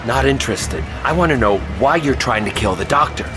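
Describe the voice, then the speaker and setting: An actress playing a blunt, suspicious guardian of a temple in an audio drama. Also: female voice, extremely low pitch, animated, a little fast. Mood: confrontational. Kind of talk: audio drama acting in a trailer. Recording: loud music over the voice.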